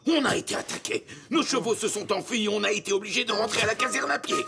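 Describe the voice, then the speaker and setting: A man voicing a dutiful, hapless underling in a cartoon, with a gravelly voice. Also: sits high, fast. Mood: flustered. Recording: music behind the voice.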